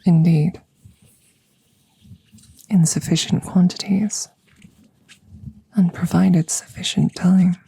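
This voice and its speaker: A woman's voice: on the low side, steady tone, takes it slowly, vocal fry, pauses often, mellow, slightly rough, no room sound, exaggerated voice, low-quality recording. A woman voicing a curious, clinical alien scientist in an ASMR roleplay, whispering breathily and phrasing things formally and precisely, close to the microphone. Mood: clinical, soothing. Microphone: close microphone, ASMR style.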